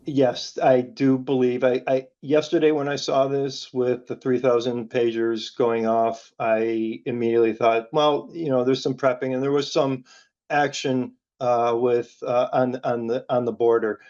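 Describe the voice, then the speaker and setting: A man with a mid-pitched, fairly monotone voice answers as an interviewed analyst. He speaks haltingly, repeating words as he thinks. The audio is dull and band-limited.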